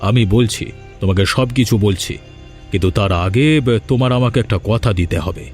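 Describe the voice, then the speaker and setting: A man's voice: on the low side, a little fast, a little mellow, soft background music, close to an everyday voice. A man voicing a scheming older father-in-law, insistent and protective of his daughter, in a fairy-tale cartoon. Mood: conspiratorial, insistent.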